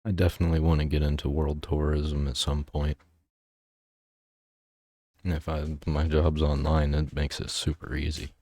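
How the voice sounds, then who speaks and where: Man, reaction host, very low voice, mellow and dark, dry close sound, casual rambling commentary. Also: monotone, normal speed, pauses often, no echo. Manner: rambling, easygoing musing. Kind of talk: casual commentary over a video.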